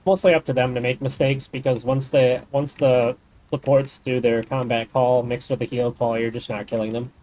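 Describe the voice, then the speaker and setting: A man's voice: medium pitch, flat delivery, normal speed, darkish tone, low-quality recording. Man rambling through game mechanics. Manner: explains game mechanics in detail; rambling, thinks aloud. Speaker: game streamer. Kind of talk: casual gaming chat.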